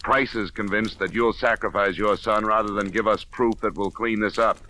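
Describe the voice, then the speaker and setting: An actor playing a cool, dogged insurance investigator in an old-time radio drama, clipped, dry and matter-of-fact. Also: male voice, lowish voice, fairly even intonation, normal speed, sharp tone. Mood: firm, pressing. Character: insurance investigator, cool, dogged, hard-boiled male detective. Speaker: lead character and narrator. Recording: noisy vintage radio drama recording.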